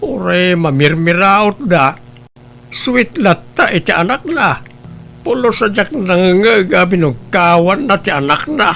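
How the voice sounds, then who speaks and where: A man playing a grave elderly grandfather who speaks slowly and reproachfully in a family drama. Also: high-pitched, lively intonation, creaky, sharp tone, soft background music, heavily acted. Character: grave elderly grandfather, stern but caring. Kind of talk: Ilocano radio-style family drama.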